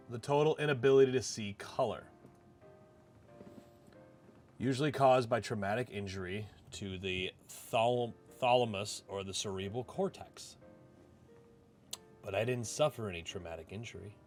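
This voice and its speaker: A man's voice: mid-range voice, moderate pace, lightly acted. A man voicing a disillusioned, reflective male office clerk who narrates his own life in a visual novel. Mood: somber. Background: game music.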